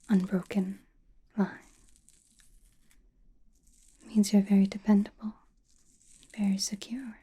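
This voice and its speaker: A woman playing a mysterious, attentive sorceress fortune-teller in a whispered ASMR roleplay, speaking softly and deliberately close to the microphone. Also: medium pitch. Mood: calm, attentive. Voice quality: breathy, mostly unvoiced whisper. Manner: slow, deliberate, soothing with soft pauses. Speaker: ASMR performer.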